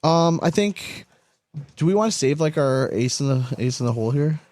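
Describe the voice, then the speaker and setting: A man's voice: fairly high pitch, expressive, normal speed, a little mellow, no room sound. Casual, emphatic man chatting, dry close sound. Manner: informal, swearing, emphatic. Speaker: podcast host. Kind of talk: casual sports chat about cornhole.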